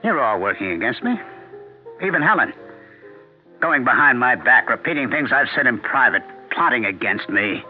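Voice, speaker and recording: An actor playing a paranoid, bitter husband in a 1970s radio drama, suspicious and sardonic. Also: male voice, medium pitch, sing-song, normal speed, soft background music, natural voice. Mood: accusing, agitated. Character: paranoid, bitter husband who suspects everyone plots against him. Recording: band-limited, tinny 1970s radio broadcast recording.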